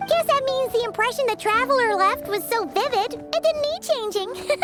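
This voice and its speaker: A woman's voice: very high-pitched, moderate pace, over music. A woman voicing a small, bubbly fairy companion in a video game, chirpy, teasing and childlike. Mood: curious, chatty.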